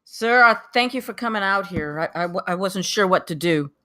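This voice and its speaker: A woman's voice: medium pitch, animated, normal speed, bright, forceful tone. A woman playing a blunt, nervous but bossy male construction foreman in a screenplay table read. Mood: uneasy.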